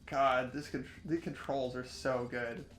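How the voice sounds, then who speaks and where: A young man hosting a game playthrough, switching between expressive scripted narration and casual commentary. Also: mid-range voice, a little slow. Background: soft game music.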